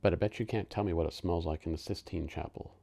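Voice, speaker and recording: Casting: man as older male therapist, low register, hushed and reflective, pointed dramatic monologue. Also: fast, vocal fry. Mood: calm, pointed. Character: calm, wounded older male therapist, gently confronting a youngster. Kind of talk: theatrical film monologue.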